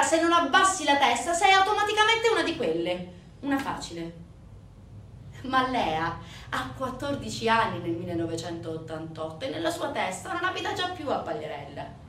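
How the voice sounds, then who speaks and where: A woman's voice: medium pitch, fairly bright tone. Woman doing a solo stage monologue, really swingy emphatic delivery, roomy far-off mic. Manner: dramatic, emphatic, with deliberate pauses. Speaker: stage actress, solo narrator. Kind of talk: theatrical monologue storytelling. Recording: roomy, distant theatre recording, background noise.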